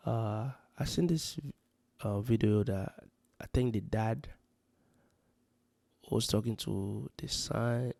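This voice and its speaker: A man's voice: mid-range voice, vocal fry, dark tone, dry recording. A man from Congo, talking earnestly and rambling in a casual vlog, full of "you know" fillers. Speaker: vlogger giving parenting advice. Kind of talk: casual spoken vlog monologue. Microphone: handheld or close mic.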